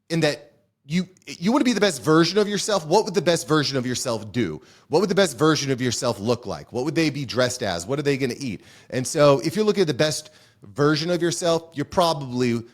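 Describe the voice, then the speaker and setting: Chatty man vlogging, mid pitch. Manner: chatty, conversational, frequent fillers and restarts. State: a little hoarse. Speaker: business vlogger. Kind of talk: casual talking-head review.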